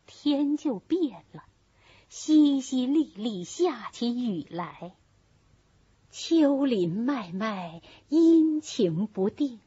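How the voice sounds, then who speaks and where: A woman's voice: a little high, a little mellow, slightly rough, dry recording, muffled recording. A woman narrator reading a classical novel as scripted literary narration. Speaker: audiobook narrator.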